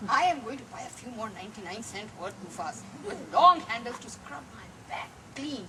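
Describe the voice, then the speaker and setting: A woman's voice: on the high side, sing-song, moderate pace, a crowd in the background. A woman performer giving a dramatic reading of a play on stage. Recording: distant mic, clear background noise.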